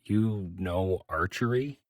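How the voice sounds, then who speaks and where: Man as a metal-bodied adventurer, earnest, measured, polite, very dry studio sound, fantasy audio drama. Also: deep voice, no room sound. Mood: practical.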